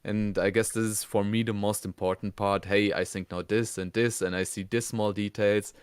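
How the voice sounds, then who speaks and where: Clear-voiced man in casual review chat. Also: fairly low pitch, flat delivery, moderate pace, dry recording, clean studio sound. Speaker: reviewer, co-host.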